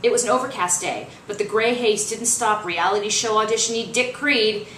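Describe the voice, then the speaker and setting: A woman reading fiction aloud as its author, in expressive, dramatic narration. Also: mid-range voice, normal speed. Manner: dramatic, acts out dialogue. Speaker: author reading their own novel. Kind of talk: expressive audiobook-style narration. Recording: roomy, distant mic, faint noise.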